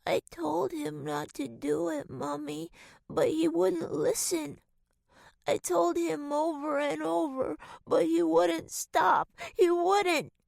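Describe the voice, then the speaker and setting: A woman's voice: very high-pitched, fairly even intonation, slow pace, gravelly, a little mellow, no room sound, studio quality, pitched above the performer's own voice, full character voice. A woman voicing a frightened little girl who whimpers between sobs. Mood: tearful. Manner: whimpering, sobbing.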